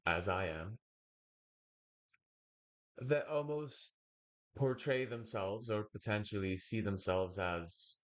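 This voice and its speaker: Young male streamer, low-pitched, Bulgarian first language, slow and hesitant rambling commentary. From United States. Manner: slow, hesitant, wordy monologue with pauses. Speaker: reaction streamer. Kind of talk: casual commentary.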